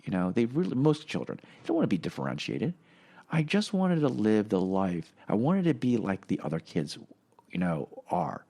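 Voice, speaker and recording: A man's voice: lowish voice, sing-song, normal speed, gravelly, dark tone. A middle-aged man whose first language is Korean, telling personal stories as a podcast guest in a rambling way, full of fillers and restarts. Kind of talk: casual personal storytelling.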